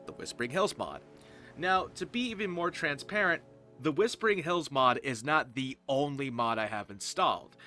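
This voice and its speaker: A man hosting a gameplay series with casual, rambling, informal commentary. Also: mid-range voice. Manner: informal, rambling, occasionally profane. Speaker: roleplay series creator and host, voice actor. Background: music.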